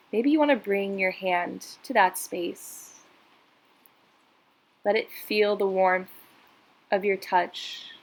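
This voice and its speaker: Slow-paced woman guiding a meditation. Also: mid-range voice, husky, low-quality recording. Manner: soothing, unhurried, instructional. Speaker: meditation guide. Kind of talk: guided meditation narration.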